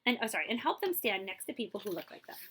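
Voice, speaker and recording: Bright, animated woman reading a storybook aloud. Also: medium pitch, fast talker, slightly rough. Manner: animated, checks in with listeners. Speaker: librarian reading a picture book aloud. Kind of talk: expressive children's read-aloud with asides to listeners.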